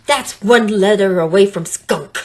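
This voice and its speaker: A woman's voice: mid-range voice, unhurried, a little mellow, lightly acted. A woman voicing a sly, scornful serpent who coaxes and taunts in a children's story. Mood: taunting. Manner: taunting, coaxing.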